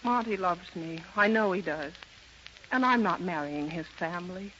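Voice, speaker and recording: Woman acting serious showgirl in old 30s radio play, bright voice, muffled hissy recording. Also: fairly low pitch, moderate pace, close to an everyday voice. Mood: earnest, firm. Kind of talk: 1930s radio drama acting. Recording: dull, hissing 1930s radio recording.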